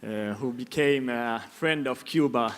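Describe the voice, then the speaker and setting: A man's voice: mid-range voice, measured pace. A man from Finland giving a conference presentation, with frequent fillers and repeated words. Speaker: conference speaker. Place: conference hall. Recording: echoey hall.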